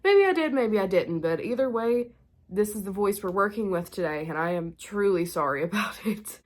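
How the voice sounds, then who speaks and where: A woman's voice: mid-range voice, expressive, normal speed. A young woman hosting a spooky vlog, sounding stuffed-up and nasal and a bit short of breath, chatting casually with lots of fillers. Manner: chatty, rambling with many "like" fillers. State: congested, nasal from illness. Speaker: spooky-content creator and host. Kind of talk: casual chat to camera.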